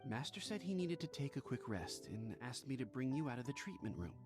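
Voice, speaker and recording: Man as a lively-toned, polite young apprentice. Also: medium pitch, a little fast, music in the background, close to an everyday voice. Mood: earnest, advising. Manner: polite, earnest, careful. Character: dutiful young male pharmacy apprentice, polite and earnest. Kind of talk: video game voice acting.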